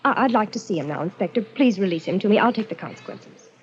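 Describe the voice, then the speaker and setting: An actress playing a devoted, stubborn young wife in a radio melodrama, fervent and pleading, on a muffled, noisy old recording. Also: female voice, mid-range voice, expressive, fast, lightly acted. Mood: insistent. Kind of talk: 1940s radio melodrama acting.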